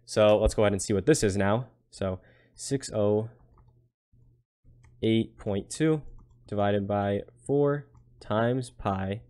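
A man with a fairly low voice works through a problem as a tutor. He explains casually, one step after another, and regularly tags his points with a quick check for understanding. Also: warm tone. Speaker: physics tutor.

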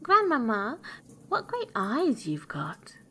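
A woman's voice: high-pitched, very animated, normal speed, pushed and bright, slight background noise, pitched above the performer's own voice, exaggerated voice. A woman voicing an innocent, polite little girl in a storybook, earnest, wondering and sing-song. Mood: amazed.

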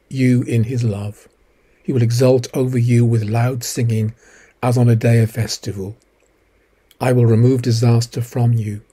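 Husky, mellow man reading scripture. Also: medium pitch. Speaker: scripture reader.

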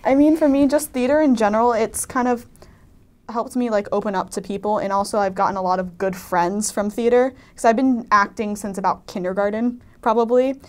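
A woman's voice: mid-range voice, fairly even intonation, a little fast. A teenage young woman, a student actor, talks casually in a panel interview, hesitating and often dropping in "like". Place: indoor panel, seated group.

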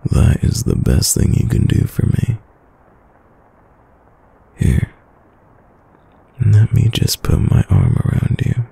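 A man playing a tender, teasing boyfriend in an intimate, soft-spoken ASMR roleplay, with a very deep, gravelly voice. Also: animated, full character voice. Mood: affectionate, soothing. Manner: slow, soft-spoken, soothing, affectionate. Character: tender, teasing young boyfriend caring for sick partner. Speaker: voice actor.

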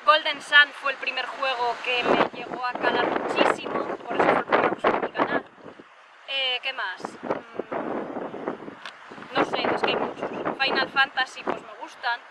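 A woman's voice: sits high, fairly even intonation, measured pace. A woman from Spain, chatting casually and playfully as she is interviewed outdoors. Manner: informal, playful, joking. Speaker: gaming video creator being interviewed. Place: outdoors by the sea, windy. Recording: wind-battered outdoor recording, distant mic.

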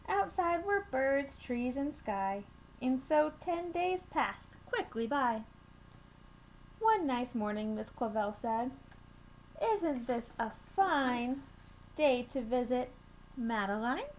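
A young woman reading a picture book aloud to children, with measured, deliberate phrasing and expressive emphasis, recorded on a webcam mic in a small room. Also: high voice. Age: young adult. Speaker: children's storytime reader at a school. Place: small room at home, indoors. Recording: noisy home webcam recording.

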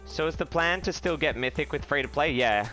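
A young man streaming a card game from a small room, swearing freely and reacting loudly to each play. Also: on the high side, a little fast, faint music behind. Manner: profane, excitable, shouting when upset. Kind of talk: live game stream commentary.

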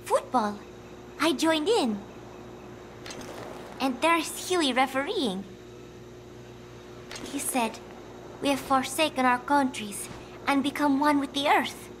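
Fragile young woman, high soft voice, hesitant, gentle and confused, video game drama, noisy recording. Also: animated, slow pace, pauses often. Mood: gentle, wistful. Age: young adult.

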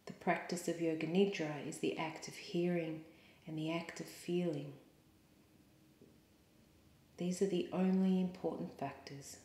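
A woman yoga teacher leading a guided meditation in a calm, soothing, measured way with pauses. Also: on the low side. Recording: roomy, echoing sound.

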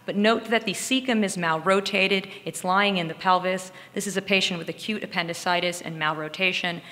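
Female medical lecturer, lowish pitch, explanatory delivery through case examples, heavily reverberant recording. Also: steady tone, a little fast, pushed and bright. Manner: explanatory, talking through slides and cases. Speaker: radiologist lecturer.

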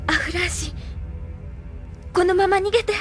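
An actress playing a desperate, defiant young captive in a radio drama, emotional and pleading. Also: female voice, extremely high pitch, steady tone, moderate pace, soft background music.

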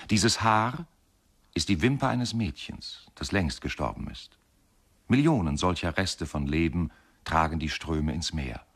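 A grave radio play narrator, low voice, takes his time, contemplative guy. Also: a bit bright, husky, full character voice. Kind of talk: scripted lyrical radio narration.

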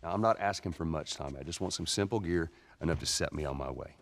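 An actor playing a weary, stubborn middle-aged survivor in a video game, with a Southern US accent and a terse, gruff manner. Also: male voice, a bass voice, fast talker, slight background noise. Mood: insistent. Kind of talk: cinematic video game acting.

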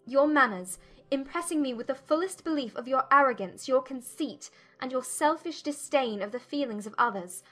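A woman acts a spirited young gentlewoman from a period romance audiobook. Her high voice delivers formal, accusing lines with firm emphasis and indignation. Music plays softly behind her. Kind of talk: dramatised audiobook acting.

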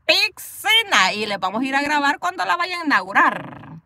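Chatty high-voiced Salvadoran woman. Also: very animated, normal speed, sharp tone, slight background noise. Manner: chatty, playful, laughs often, talks back to commenters. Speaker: livestream host reading viewer comments. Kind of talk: casual chat with live viewers. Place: inside a parked car.

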